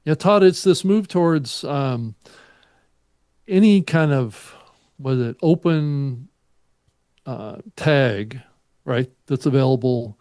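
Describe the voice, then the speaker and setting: A man's voice: mid-range voice, slow, pauses often, a little mellow, dry recording, clean studio sound. A man co-hosting a podcast, offering casual conversational commentary. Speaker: podcast co-host.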